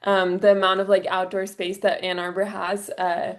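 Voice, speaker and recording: A woman's voice: medium pitch, level tone, moderate pace. A young woman from the Bay Area answering interview questions in an upbeat, casual way, saying "like" often. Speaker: interview guest, traveler.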